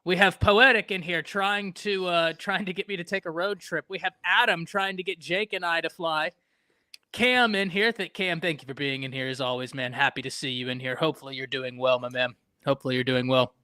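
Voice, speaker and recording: A man from Texas hosting a casual live-stream sports talk show, animated and talking to chat viewers by name. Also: fairly high pitch, a little fast, smooth, no echo, clean studio sound.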